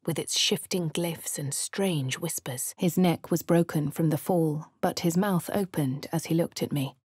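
A woman with a posh English accent giving refined, measured first-person narration for a game character. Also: low voice, darkish tone, slightly rough, no room sound. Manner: refined, measured, clipped delivery. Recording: dry studio voice-over.

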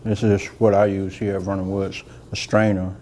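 A middle-aged man, a soft-spoken chef talking casually through a cooking demonstration in a studio kitchen. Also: low voice, little pitch movement, moderate pace, slight background noise. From Georgia, US. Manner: soft-spoken, rambling and homey, talks while working. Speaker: chef and cooking show host. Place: indoor demo kitchen set.

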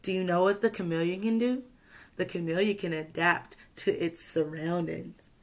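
A young woman teacher reading a picture book aloud to children, expressively, pausing to ask questions and add asides. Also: on the low side, steady tone. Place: small indoor room with classroom wall charts.

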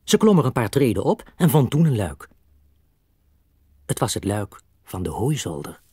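A man's voice: medium pitch, sing-song, normal speed, a little mellow, slightly rough, dry recording, studio quality. A man narrating a scripted children's story as its storyteller.

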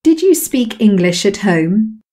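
A woman calmly repeating English model sentences in a slow, measured way for a lesson. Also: medium pitch. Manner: calm, measured repetition of phrases. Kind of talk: slow scripted language drill.

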